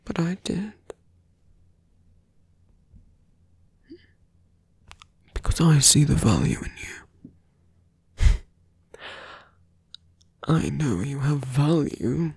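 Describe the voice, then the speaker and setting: A man voicing a seductive, smug fantasy villain in an ASMR roleplay, coaxing in a breathy, teasing whisper close to the mic. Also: on the high side, a little mellow, no echo, heavily acted. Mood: reproachful, admiring. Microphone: close dry microphone.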